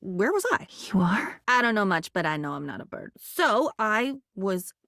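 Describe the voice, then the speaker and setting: A woman's voice: medium pitch, big pitch swings, moderate pace, fairly bright tone, no echo. Anxious young female game character, melodramatic, musing, theatrical, widely swinging intonation, close dry sound. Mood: nervous, dreamy.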